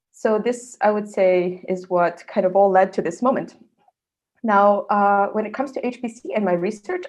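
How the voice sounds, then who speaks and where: Woman from Serbia giving a science talk. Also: mid-range voice. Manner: earnest, with frequent "uh" fillers. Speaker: research fellow presenter. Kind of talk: online scientific presentation.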